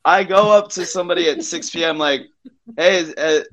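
A man telling a story as a guest comedian on a casual podcast, halting and repeating himself as he acts out exchanges. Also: fairly high pitch, a bit bright, rough recording.

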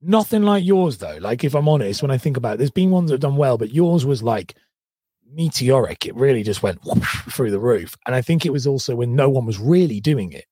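A man hosting a podcast, his voice hoarse and husky. He talks eagerly and loosely, restarting his questions as he goes. The sound is dry and close. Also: medium pitch, expressive, a little fast, dark tone, no room sound. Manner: enthusiastic, rambling questions with many restarts. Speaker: podcast host. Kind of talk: casual interview chat.

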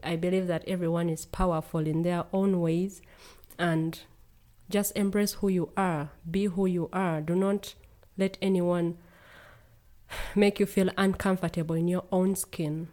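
A woman's voice: on the low side, fairly even intonation, a little slow, mellow. Earnest young woman from Uganda. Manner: earnest, laughs easily. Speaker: guest. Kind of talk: casual conversation.

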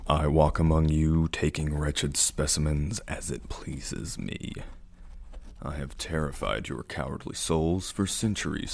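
An actor playing an ancient, grandiose serial killer in an audio play, ominous and hateful, with a gravelly rasp. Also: male voice, heavily acted. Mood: grandiose menace. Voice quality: very deep, raspy, gravelly. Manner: slow, pompous, ominous. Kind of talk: theatrical audio play monologue.

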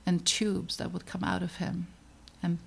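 A middle-aged woman from the Netherlands, a pediatrician hosting her own podcast, narrating in an earnest, measured and reflective way with emphatic pauses. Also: lowish voice, monotone, vocal fry, breathy edge. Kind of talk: scripted personal podcast narration.

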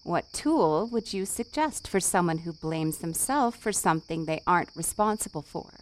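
A woman's voice: lowish voice, expressive, moderate pace, lightly acted. A woman acting in a fiction podcast as a cocky, playful and fiercely supportive wife, with a casual, emphatic, teasing delivery. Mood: tender, firm.

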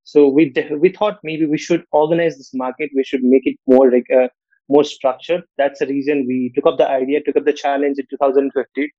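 A man from Nepal, a podcast guest who speaks in a measured way and often tags his sentences with "right?". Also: mid-range voice, level tone, dry recording. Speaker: guest, fintech co-founder. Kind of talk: podcast interview. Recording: dull, dry lo-fi call audio.

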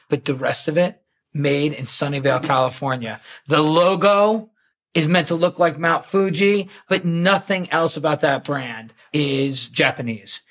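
A man's voice: fairly high pitch, a bit bright. A man hosting a podcast, telling stories with enthusiasm in casual chat. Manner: enthusiastic, storytelling. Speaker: podcast host.

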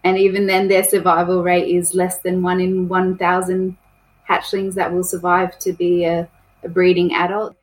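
A woman's voice: fairly low pitch, completely flat delivery, moderate pace, low-quality recording. Woman, chatty conservation guest. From New South Wales, Australia. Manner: chatty, with many ums and restarts. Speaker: conservation project coordinator. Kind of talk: casual podcast interview.

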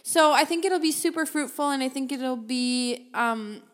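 A woman hosting her own podcast alone in a small office, talking casually and upbeat into a desk microphone, with a loud voice that carries. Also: sits high, moderate pace. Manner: chatty, upbeat, laughs often, self-deprecating. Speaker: podcast host. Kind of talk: casual solo podcast chat. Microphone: desktop microphone.

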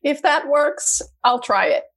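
A woman's voice: high voice, unhurried. A young woman from Denmark, a podcast guest chatting casually and acting out her own reactions in quotes. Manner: lively, acts out her own past reactions in quotes, laughs often. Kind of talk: casual interview chat.

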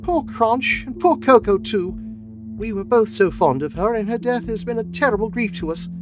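A man's voice: high-pitched, expressive, normal speed, music in the background, voice pushed up. A man voicing a nervous, genteel English lady who talks in a plaintive, fluttery way.

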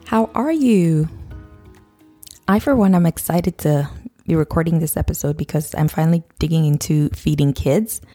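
A woman hosting a parenting podcast, talking straight to listeners while croaky from a cold. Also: low-pitched, normal speed, warm tone, soft background music. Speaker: podcast host, nutrition counselor. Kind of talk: scripted podcast intro, then casual talk to listeners.

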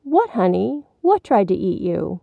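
A woman voicing a gentle, concerned mother in a storybook, reassuring a child in a soothing manner. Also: mid-range voice, sing-song, measured pace, creaky, no room sound, narrow, tinny audio, exaggerated voice.

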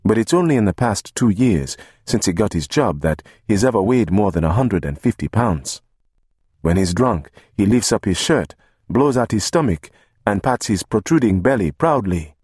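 Low, husky immigrant storyteller. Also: male voice, lively intonation, normal speed, a little mellow, dry recording, clean studio sound. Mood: wry affection. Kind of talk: literary audiobook narration.

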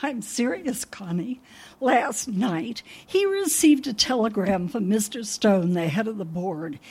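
Woman playing a husky, dotty old landlady. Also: mid-range voice, animated, measured pace, a little mellow. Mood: excited. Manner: rambling, forgetful. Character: dotty, sweet, absent-minded older landlady. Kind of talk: radio comedy acting.

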